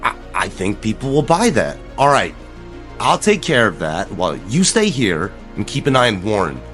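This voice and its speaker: A man's voice: on the low side, wildly swooping pitch, moderate pace, a bit bright, faint music behind. An actor playing an anxious, determined small-town man in an audio drama, speaking earnestly and persuasively. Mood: resolved.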